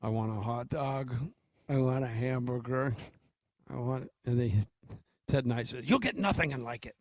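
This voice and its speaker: A man's voice: mid-range voice, normal speed, no echo. A man with an American accent and a mid-range voice talks as a sports radio co-host. He is informal and jokey, and the studio sound is very dry. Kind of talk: casual sports talk banter.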